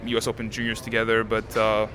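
An eighteen-year-old man from Canada, a native French speaker, answering interview questions outdoors in a calm, composed way with many fillers. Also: lowish voice, fast talker. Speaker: tennis player, interviewee. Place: outdoors at a tennis stadium. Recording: crowd noise behind.

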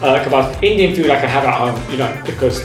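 A man's voice: mid-range voice, lively intonation, fast talker. A man, a stand-up comedian being interviewed, chatting earnestly and often reworking his phrases. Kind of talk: casual interview chat. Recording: roomy sound, music bed behind. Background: music.

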